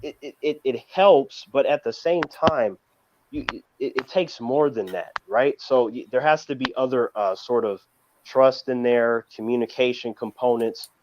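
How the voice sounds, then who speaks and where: A man with a mid-pitched voice talks as a panelist in a casual discussion. He is emphatic and often repeats words while working through his point. The audio is dull and lo-fi, like an online call. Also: normal speed, darkish tone. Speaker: panelist on a TV review show.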